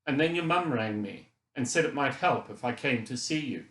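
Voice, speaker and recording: A man's voice: medium pitch, expressive, normal speed, a bit bright, roomy sound, close to an everyday voice. A man voicing a guilt-ridden middle-aged Scottish father in an audiobook, earnest and apologetic, with an unsteady voice. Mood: remorseful, upset. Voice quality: wobbly, unsteady. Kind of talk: audiobook character dialogue.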